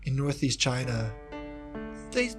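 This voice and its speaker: A man from Kentucky, a historian of East Asia, talking about his research in a relaxed interview, with many asides and restarts. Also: mid-range voice, takes it slowly, slightly rough, faint music behind. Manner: thoughtful, rambling with asides and restarts. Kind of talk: casual reflective interview talk.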